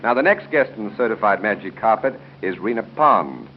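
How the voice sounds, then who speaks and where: A deep-voiced American man hosts a 1930s radio quiz with a lively, swinging delivery. He banters genially and jokes as he goes. The sound is a muffled, noisy old broadcast. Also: a little fast. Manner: genial and joking, chuckles between questions. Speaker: quiz show host. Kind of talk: 1930s live radio audience quiz hosting, ad-libbed banter. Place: hotel room at a club luncheon.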